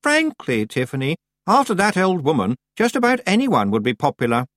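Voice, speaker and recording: A man's voice: a little high, huge pitch swings, moderate pace, fairly bright tone, dry recording, studio quality, voice pushed up. A man voicing a vain, pushy teenage girl witch in a storybook, with a haughty, emphatic manner. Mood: smug, haughty.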